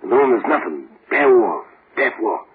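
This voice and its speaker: A man's voice: mid-range voice, big pitch swings, moderate pace, breathy edge, phone-quality sound. An actor playing a scheming, wisecracking condemned gangster with slangy tough-guy talk in a 1940s radio drama. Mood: urgent scheming. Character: cocky, scheming condemned gangster, sardonic and streetwise. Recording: thin, hissy 1940s radio recording.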